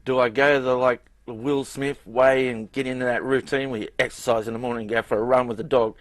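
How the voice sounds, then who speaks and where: A man hosting a casual interview, joking and relaxed. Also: mid-range voice, fairly quick, dry recording. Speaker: host.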